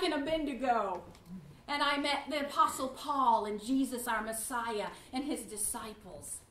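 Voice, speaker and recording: Loud, high-voiced woman in her fifties. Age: 50s. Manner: loud, animated, chatty with the room. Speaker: guest Bible class teacher. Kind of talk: church class talk. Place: church classroom with seated audience. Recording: roomy echo, faint room noise.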